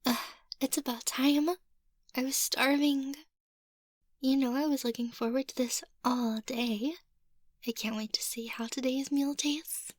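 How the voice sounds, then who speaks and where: A woman playing a playful, sweet young vampire mistress in an ASMR roleplay, soft-spoken and teasing, often slipping into a whisper. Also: high voice, steady tone, measured pace, dry recording. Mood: eager.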